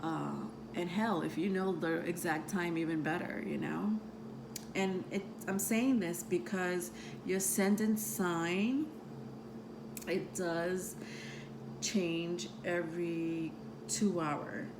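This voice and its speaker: A woman with a soothing voice, who grew up outside the United States, talking about astrology to her viewers in a meandering way full of ums and soft laughs. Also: lowish voice, steady tone, slow pace, noisy background. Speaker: tarot reader and astrology vlogger. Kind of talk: casual rambling talk to camera.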